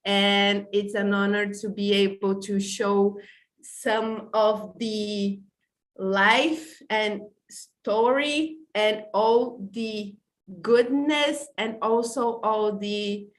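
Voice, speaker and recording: Slow-talking Brazilian-born woman host. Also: mid-range voice, fairly even intonation. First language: not English. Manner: warm, effusive, stumbles and apologizes often. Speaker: podcast host. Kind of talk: casual online livestream hosting.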